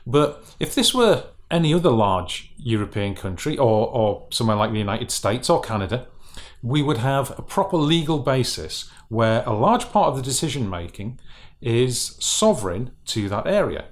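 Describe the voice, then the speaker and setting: A man's voice: mid-range voice, sing-song, normal speed. A man with a Northeastern English accent, a regional mayor making his case in a panel discussion.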